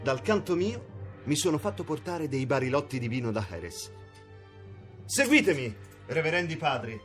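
A cynical mercenary captain, jovial and sly, with a lively, swinging voice. Music sits clearly under the radio play. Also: male voice, on the high side, moderate pace. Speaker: mercenary leader. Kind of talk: radio drama acting.

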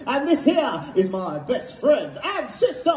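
An actor playing a boisterous, cheeky young lad hero in a pantomime, bantering playfully with the audience in a shouty, jokey way. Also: male voice, very high-pitched, huge pitch swings, a little slow, soft background music, exaggerated voice. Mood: cheeky, excited. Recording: echoey theatre with audience noise.

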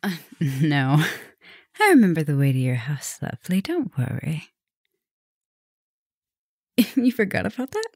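A woman acts out a female vampire professor in an intimate ASMR roleplay, flirtatious and gently dominant. Her voice is low with plenty of creak, recorded very dry and close. She teases slowly and can slip into a whisper. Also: sing-song, dry recording, full character voice. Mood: teasing, flirty. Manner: intimate, teasing, drops into whispers. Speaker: roleplay audio performer.

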